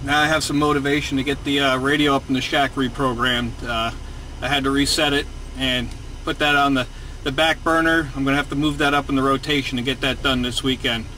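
A man's voice: mid-range voice, fairly even intonation, brisk. A man hosting a video as a ham radio operator, chatting and narrating casually with procedural radio phrasing while driving. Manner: uses radio call signs and procedural phrasing. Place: inside a moving car, driving. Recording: loud car road noise behind voice.

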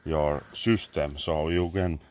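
A man in his thirties with a Finnish accent, vlogging in halting English full of fillers and swearing, outdoors in a garden on a handheld phone. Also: very deep voice, steady tone, darkish tone, a little hiss or hum. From Finland. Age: 30s. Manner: halting, many "uh" fillers, swearing, sipping a drink. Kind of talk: casual rambling selfie vlog. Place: garden among trees, outdoors. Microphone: phone held at arm's length.

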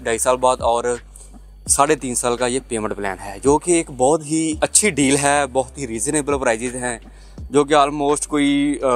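Pakistani guy in his 30s giving a salesy property tour, mid-pitch voice, lots of uh's, handheld mic. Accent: Pakistani Urdu. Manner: salesy, addresses viewers directly, frequent "uh" fillers. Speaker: real estate agent presenting a housing project. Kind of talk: property tour walkthrough to camera. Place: outdoors at a construction site, then inside an unfinished house and on its roof.

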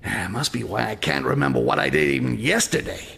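An actor playing a cynical goblin thief in a video game, with a gravelly voice and a dry, sardonic manner. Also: male voice, mid-range voice, fairly quick, fairly bright tone, full character voice. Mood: groggy, irritated.

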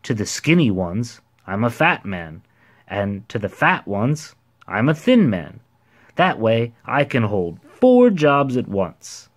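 A man voicing a proud, cheery storybook man who declares things with bright self-importance. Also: mid-range voice, big pitch swings, slow pace. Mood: impatient, explanatory. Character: proud, cheery, impatient ordinary man posing as a giant. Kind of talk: storybook character voice.

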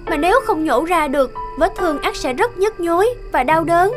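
A woman's voice: very high voice, lively intonation, moderate pace, over music. A woman voicing a brave, earnest young princess in a fairy-tale cartoon, speaking in a formal, resolute way. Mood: gentle, earnest.

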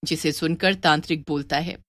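A woman's voice: deep voice, steady tone, moderate pace, fairly bright tone, dry recording. A woman narrator delivering scripted story narration.

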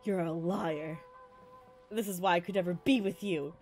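Woman putting on a low, brooding, hushed soap-opera man. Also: faint music behind, natural voice. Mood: hurt, bitter. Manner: low, hushed, halting, melodramatic. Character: brooding, evasive man in a secret romance. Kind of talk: improvised soap-opera roleplay over a video game.

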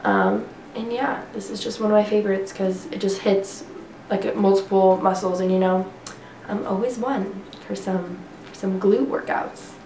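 A woman's voice: medium pitch, animated, moderate pace, warm tone, slight background noise. A young woman in her twenties, congested, chatting casually to camera at home about workouts, rambling with lots of fillers. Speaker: fitness vlogger. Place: living room at home. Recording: echoey room.

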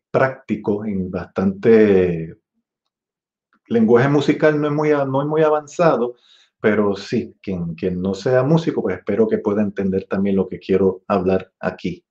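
Puerto Rican trumpet teacher, 40s, low-voiced, chatty; sings rhythms; muffled webcam audio. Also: male voice, measured pace, darkish tone. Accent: Puerto Rican Spanish. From Puerto Rico. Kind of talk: online masterclass. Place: room with green wall. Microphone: webcam at desk.

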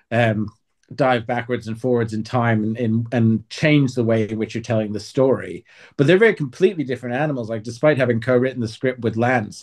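A man's voice: medium pitch, a little mellow. A man answering as an author in a conversational interview, explaining in a reflective, articulate way.

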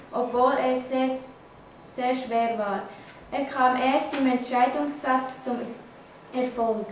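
Young female student newsreader, Swiss German accent, slow halting delivery, very reverberant classroom. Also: high-pitched. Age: teenager or young adult. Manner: halting, stumbling reading. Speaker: student news reader. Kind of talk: scripted news reading. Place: classroom, indoors. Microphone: camera mic at a distance. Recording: echoey room, distant mic, faint noise.

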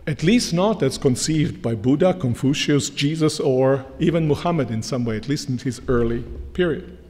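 A man's voice: mid-range voice, normal speed, a little mellow. Man lecturing in a reverberant hall. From Croatia. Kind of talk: academic lecture. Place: large lecture hall, standing at a lectern. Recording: long hall reverb from lectern mic.

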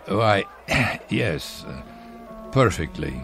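An actor playing a hesitant, polite old man in a radio drama, speaking in a formal, mild-mannered, halting way. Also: low-pitched, very animated, a bit bright, faint music behind, heavily acted. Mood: timid, polite. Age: older.

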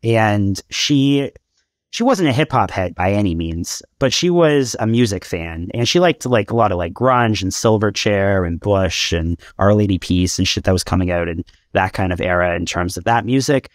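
Casting note: thirtyish man from Canada, lowish voice, dry close sound, hesitant guest on a video call. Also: animated, normal speed, no room sound. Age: 30s. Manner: thoughtful, hesitant, many fillers and restarts. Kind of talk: casual interview talk. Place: home room with shelves of CDs. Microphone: headphones, video call.

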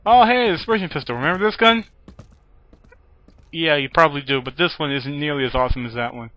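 A man's voice: a little high, fast talker, lo-fi sound. A man doing casual, joking let's play commentary in a sarcastic, wisecracking way. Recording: noisy, with game audio behind. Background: video game ambience and gunfire.

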